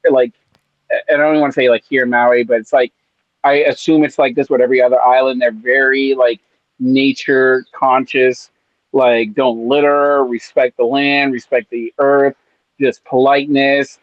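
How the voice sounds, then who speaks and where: A man's voice: medium pitch, no echo, dull audio. A man from California, a podcast co-host, chatting in a laid-back, rambling way with lots of fillers. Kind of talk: casual chat. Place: on vacation in Maui.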